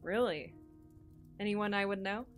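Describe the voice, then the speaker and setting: Woman playing pushy smart reporter in an audio drama, medium pitch, confident and direct, soft music behind. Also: a little slow, natural voice. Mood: curious, insistent. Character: bold, shrewd, ambitious female entertainment reporter.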